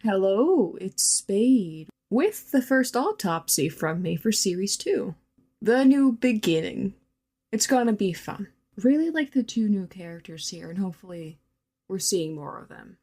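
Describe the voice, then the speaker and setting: A woman's voice: medium pitch, sing-song, unhurried, mellow. A woman leaving a casual, rambling voice message as a listener, hesitant but enthusiastic.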